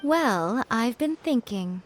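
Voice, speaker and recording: Sly, composed fox priestess. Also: female voice, a little high, expressive. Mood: composed, scheming. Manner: teasing, deliberate, composed. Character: sly, self-assured fox shrine guuji and chief editor. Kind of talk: scripted video game dialogue. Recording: background music under the voice.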